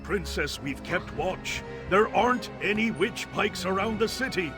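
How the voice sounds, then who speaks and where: A man's voice: high-pitched, expressive, measured pace, over music. A man playing a bitter, betrayed warrior commander in a fantasy video game, with an intense, dramatic delivery. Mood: bitter, despairing.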